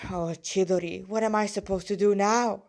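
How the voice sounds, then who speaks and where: A woman's voice: medium pitch, fairly even intonation, normal speed, darkish tone, lightly acted. A woman voicing a worried, weary male boss and adoptive father in a story read aloud, fretting to himself. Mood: despairing. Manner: fretful inner monologue.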